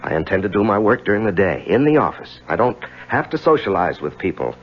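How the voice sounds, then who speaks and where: An actor playing an earnest, evasive young married attorney, hesitant and confiding, in a 1970s radio drama. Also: male voice, lowish voice, sing-song, fast, husky. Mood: insistent. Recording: dull lo-fi 1970s radio recording.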